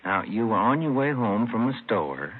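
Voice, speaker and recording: A man's voice: lowish voice, sing-song, normal speed, husky. A man playing an earnest, easily exasperated police detective partner in an old radio crime drama. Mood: questioning. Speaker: supporting actor. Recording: narrow, tinny old radio recording.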